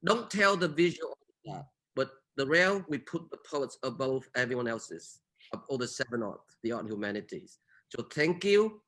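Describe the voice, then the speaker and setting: A man with a mid-pitched voice hosts an online literary gathering. He speaks in an effusive, affectionate way, as if addressing friends over a video call. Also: lively intonation, moderate pace, fairly bright tone, poor audio. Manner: effusive, expressing gratitude and affection. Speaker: event host. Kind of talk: closing remarks at an online poetry reading.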